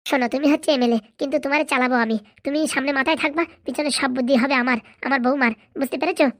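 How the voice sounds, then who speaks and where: A woman's voice: high-pitched, steady tone, fairly bright tone, dry recording, studio quality. A woman voicing a bossy, scheming wife in a cartoon. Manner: brisk, domineering. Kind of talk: cartoon voice acting.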